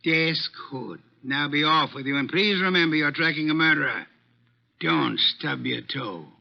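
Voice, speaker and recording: A pompous, irritable genius detective in vintage radio drama. His voice is fairly high for a man and gravelly, and his wording is grand and exclamatory. The old recording is dull. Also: unhurried. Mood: pompous, brisk. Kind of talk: 1940s-50s radio drama acting.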